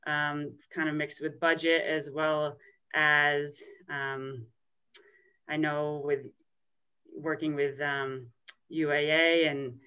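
A young adult woman, a ski coach, answering press questions over a video call from a small office, thoughtful and hesitant with many pauses. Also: low voice, a bit bright, clear, resonant tone. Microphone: laptop webcam on a video call. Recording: slightly dull video call audio.

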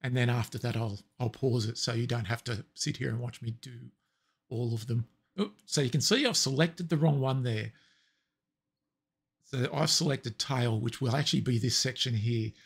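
A man with a raspy voice explaining software steps in a relaxed, step-by-step tutorial. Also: mid-range voice. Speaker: tutorial presenter. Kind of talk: screen-recorded software tutorial.

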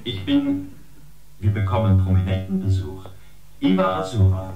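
A dry, formal machine mind in a German sci-fi radio play, low in pitch. It is polite and gossipy. The sound is dull and echoey, with loud guitar music behind it. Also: male voice, wildly swooping pitch, moderate pace. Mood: dryly polite. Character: male artificial intelligence unit, dryly polite and gossipy. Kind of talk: sci-fi radio drama acting.

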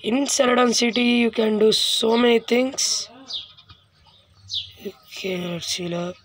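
A woman hosting a let's play with casual, informal gameplay commentary, halting and often repeating phrases. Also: a little high, steady tone, noisy background.

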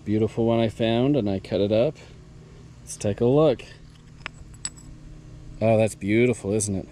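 A man with a mellow, relaxed voice talks viewers through his rock finds, eager and chatty. He is outdoors on an action camera mic, with faint clicks and rustle around him. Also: lowish voice, unhurried, slight background noise. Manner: enthusiastic, talks to viewers while handling rocks. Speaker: rockhounding vlogger. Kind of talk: casual show-and-tell narration. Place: outdoors at a mine site and a backyard.

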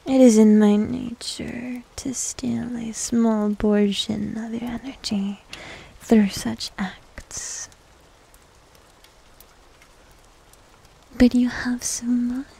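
A woman playing a needy, teasing young succubus demon in an ASMR roleplay, with a soft, breathy, often whispered voice and coy manner. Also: on the high side, fairly even intonation, exaggerated voice, poor audio. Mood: pouting, persuasive. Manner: coy, slow, coaxing. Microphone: close microphone.

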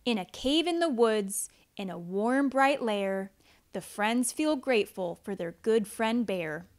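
A woman reads a children's story aloud. Her voice sits fairly high and moves in lively swoops. She takes her time, pausing deliberately for drama. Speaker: storybook narrator. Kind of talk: expressive children's read-aloud.

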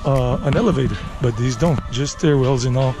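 A man's voice: medium pitch, a little fast, music in the background. A man of forty-nine chatting casually and thinking aloud as he hosts a walking vlog outdoors on a city street. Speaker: travel vlogger and walking tour host. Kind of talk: casual walking commentary to viewers. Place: outdoors, walking a leafy urban residential street.

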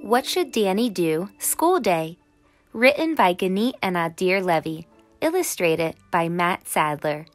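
A woman storyteller doing an expressive, dramatic read-aloud for children in a teacherly way, with music under her voice. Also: lowish voice, unhurried. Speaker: storyteller reading a children's book.

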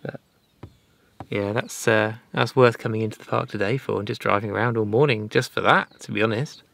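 A man's voice: lowish voice, brisk, vocal fry. A man in his thirties chatting casually and joking dryly in a travel vlog. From England. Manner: relaxed, dry joking. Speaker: sailing vlogger. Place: apartment and small car in a game park.

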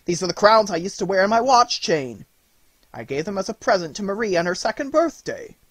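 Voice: medium pitch, very animated, quick pace, dry recording, voice pushed up. A reader voicing an eccentric old godfather who blusters and exclaims. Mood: blustering. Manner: blustering, exclaiming, repeats himself. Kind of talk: audiobook character reading.

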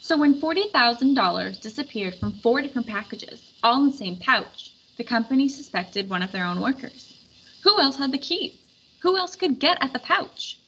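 A woman with a fairly high, bright voice reads a storybook aloud in an expressive way. The recording is a bit dull and lo-fi, with some noise behind her. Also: normal speed. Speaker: read-aloud narrator. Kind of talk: storybook read-aloud, scripted narration.

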